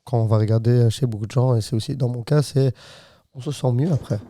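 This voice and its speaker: A man's voice: medium pitch, fairly even intonation, fast, warm tone. A man with a Flemish accent chatting as a podcast guest, hesitant, with many fillers and restarts. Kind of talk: casual podcast chat. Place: hot podcast studio.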